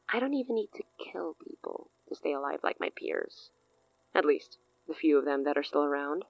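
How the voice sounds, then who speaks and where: A grandiose sorceress from a horror audio drama, savors every eloquent word. Her voice is low and creaky, dropping into hushed asides, and she sounds privately amused. The recording is dry and slightly dulled. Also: no room sound, rough recording. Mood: amused, wistful. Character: grandiose, amused, long-lived rich woman sorcerer.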